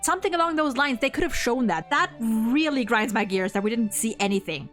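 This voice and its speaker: Young woman, high voice, animated casual discussion, opinionated, music in the background. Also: fairly quick. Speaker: video host reviewing a game.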